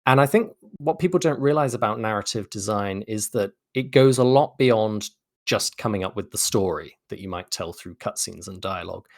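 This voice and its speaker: A man's voice: fairly low pitch, lively intonation, normal speed, vocal fry, dry recording, clean studio sound. A man speaking as an interview guest, articulate and explanatory in a thoughtful conversational style. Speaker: interview guest, game narrative designer.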